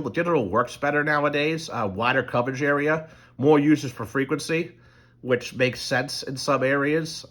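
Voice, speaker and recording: A man's voice: mid-range voice, a bit bright. A middle-aged man talking casually to camera, rambling through an explanation with many ums and restarts. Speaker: channel host.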